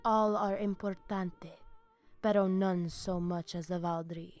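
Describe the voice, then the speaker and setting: A woman's voice: on the low side, steady tone, unhurried, darkish tone, lightly acted. An actress playing a proud, zealous female vampire pack leader in a video game, speaking in a solemn, grandiose, threatening manner. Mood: solemn fervour. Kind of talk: video game character dialogue. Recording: music behind the voice.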